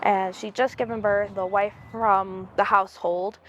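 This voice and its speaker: A woman speaks casually as an interviewed neighbor. Her pitch is middling. A little background noise runs under her words. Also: flat delivery, a little slow, lo-fi sound.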